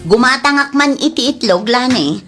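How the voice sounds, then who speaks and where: Stingy, high-voiced Ilocano daughter-in-law played by a woman. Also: animated, normal speed, over loud music. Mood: complaining. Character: stingy, complaining daughter-in-law who protests indignantly. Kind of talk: Ilocano comedy drama acting.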